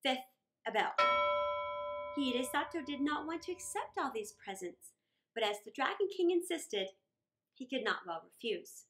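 A middle-aged woman reading a story aloud for children in a theatrical way, with deliberate phrasing and dramatic pauses. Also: sits high, lively intonation, over loud music. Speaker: children's storytime reader and host.

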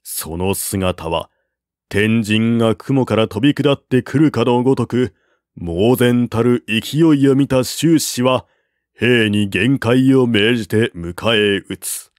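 A man reading a classical historical novel aloud as narrator, in a steady, deliberate manner on a close mic. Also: mid-range voice, no room sound.

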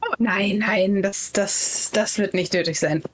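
A woman improvises an evasive impostor posing as a visiting dignitary in a tabletop game. She speaks politely but haltingly, in a mid-range voice. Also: mid-range voice, lightly acted. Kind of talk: improvised tabletop role-play.

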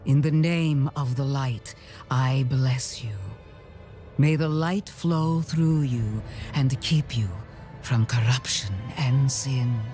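A woman playing a stern, zealous high priestess in a game cutscene, with a solemn, commanding and reverent delivery. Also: takes it slowly, warm tone, soft background music. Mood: solemn, devout. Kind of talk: dramatic video game cutscene acting.

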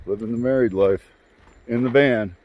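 A man's voice: mid-range voice, normal speed, a little mellow. A man in his sixties chatting casually into a handheld phone camera at a mountain campsite, folksy and rambling. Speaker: vlogger. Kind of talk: casual vlog narration. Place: outdoors at a mountain campsite by a creek. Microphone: phone camera at arm's length. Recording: faint outdoor noise of a stream. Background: running creek water.

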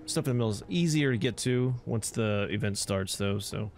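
A man's voice: lowish voice, moderate pace, slight background noise. A man giving casual gameplay commentary, informally thinking aloud as he plays. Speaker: gaming video host. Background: loud game music and sound effects.

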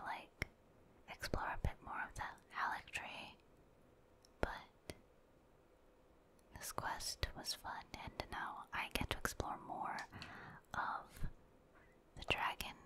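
A woman doing ASMR commentary over a video game playthrough, whispering softly and musing aloud in an unhurried way. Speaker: gaming streamer.

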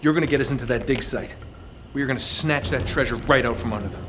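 A man's voice: mid-range voice, quick pace, noisy background, natural voice. An actor in his thirties playing a cocky, sardonic young treasure hunter in a cinematic video game. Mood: intent, scheming. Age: 30s. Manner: sardonic, conversational.

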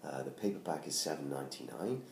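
Hoarse, very deep middle-aged man. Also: lively intonation, fast, a little mellow. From United Kingdom. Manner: chatty, self-deprecating, many ums and restarts. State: hoarse from laryngitis. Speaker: author promoting his book. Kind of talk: casual self-made promo video. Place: living room at home by a Christmas tree. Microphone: tablet camera at close range. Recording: roomy home sound with faint noise.

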